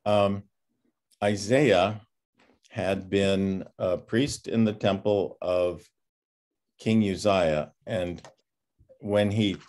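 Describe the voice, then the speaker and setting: An older man in his sixties, a pastor giving an informal class talk over a video call, reflective and halting with many pauses. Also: deep voice, a little mellow. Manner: halting, reflective, often pausing. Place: home study with bookshelves, on a video call. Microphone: computer microphone on a video call.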